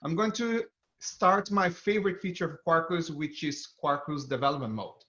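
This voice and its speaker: Bright, higher-pitched Brazilian man presenting. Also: moderate pace. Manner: upbeat, enthusiastic. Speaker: developer advocate presenting a tech talk. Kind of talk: conference talk with live coding demo. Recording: dull, band-limited video stream audio.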